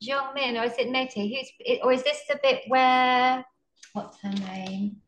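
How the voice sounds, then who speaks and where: A woman's voice: high voice, steady tone, takes it slowly, fairly bright tone, slight background noise. Friendly woman hosting on a video call. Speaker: debate moderator.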